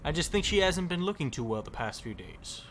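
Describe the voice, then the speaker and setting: A man's voice: fairly high pitch, big pitch swings, brisk, a bit bright, slight background noise. A man playing a bitter, regretful survivor in a zombie audio drama, sarcastic and slangy but caring underneath. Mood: wry concern. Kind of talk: audio drama acting, casual profane dialogue.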